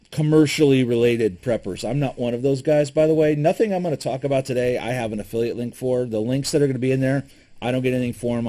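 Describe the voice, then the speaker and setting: A man from Michigan talks through survival gear in an easygoing, unscripted way. He stumbles and restarts often and fills pauses with ums. His opinions come out plainly. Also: mid-range voice, dark tone. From Michigan, USA. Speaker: preparedness gear reviewer. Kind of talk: casual gear review to camera.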